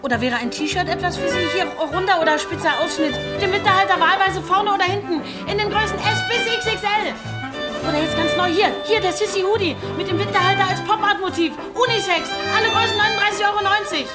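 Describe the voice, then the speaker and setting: An actress playing an eager female souvenir seller, pitching products in a radio play. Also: fast, loud music behind the voice. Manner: salesy, listing products and prices. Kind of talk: shopping presentation in a radio play.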